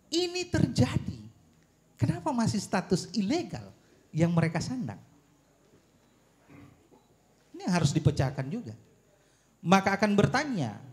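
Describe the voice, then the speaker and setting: A man making an argument at a public forum, his voice fairly high and swinging widely in pitch. He phrases things deliberately and emphatically, often posing pointed rhetorical questions. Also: darkish tone. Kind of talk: argumentative forum speech on policy.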